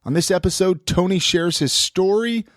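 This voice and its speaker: A man's voice: sits high, normal speed, fairly bright tone, no echo, studio quality. An American man hosting a podcast in a casual, chatty style, slightly congested from a head cold. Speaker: podcast host. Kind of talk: casual podcast chat and sponsor reads.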